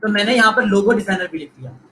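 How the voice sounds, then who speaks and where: A man's voice: high-pitched, animated, fast talker, sharp tone, a little hiss or hum, poor audio. A man with a Pakistani Urdu accent giving a live online lecture, coaching students and repeating points while mixing in English phrases. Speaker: online course instructor.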